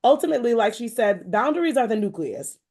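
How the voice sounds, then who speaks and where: A woman's voice: on the high side, big pitch swings, quick pace, a little mellow. A woman hosting a motivational, faith-based conversation, talking in an encouraging, emphatic way and often repeating phrases. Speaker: podcast host.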